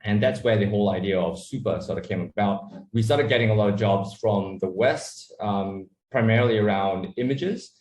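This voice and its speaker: A man who was born in Malaysia and raised in Australia, a startup founder answering interview questions in a relaxed, rambling way with many fillers. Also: fairly low pitch, moderate pace, hardly any pauses. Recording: echoey room.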